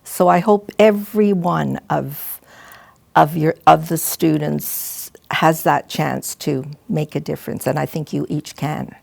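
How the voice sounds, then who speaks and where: An older woman, a retired school principal, telling gentle, halting stories and advice to students. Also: low-pitched, vocal fry, no room sound. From Canada. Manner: gentle, halting, with frequent restarts and soft asides. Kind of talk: reflective storytelling to students.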